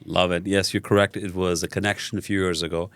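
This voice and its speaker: A man hosts a casual interview, asking friendly, prompting questions. His voice is on the lower side and sounds dry and close to the mic. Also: level tone, fast, a bit bright, no room sound. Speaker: interview host.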